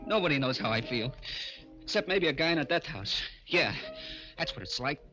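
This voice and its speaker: An actor playing a nervous, timid young American small-time crook in an old-time radio crime drama, hesitant and slangy. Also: male voice, fairly high pitch, expressive, normal speed, sharp tone, poor audio. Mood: despairing. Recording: vintage radio recording with music behind.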